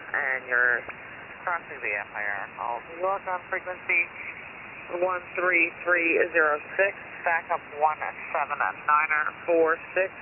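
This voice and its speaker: A man with a slightly high voice talks as an air traffic radio operator in clipped aviation phraseology. He comes through a very narrow shortwave radio channel, with clear static hiss over everything. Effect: HF radio transmission. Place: received over long-distance HF radio. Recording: narrow-band, hissy shortwave radio reception. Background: loud static hiss.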